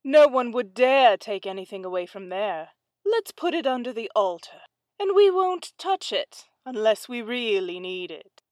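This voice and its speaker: A woman's voice: a little high, big pitch swings, measured pace, a little mellow, no room sound. A woman voicing a sly, greedy male cat in a fairy tale, with a dry, offhand drawl. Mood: scheming.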